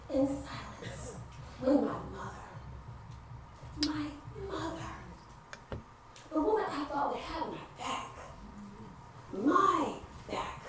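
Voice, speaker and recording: A woman playing an anguished married woman grieving her infertility in a raw, dramatic stage monologue. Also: high voice. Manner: dramatic, slow pauses, emotionally raw. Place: indoor stage theatre. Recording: echoey stage hall, distant mic, faint noise.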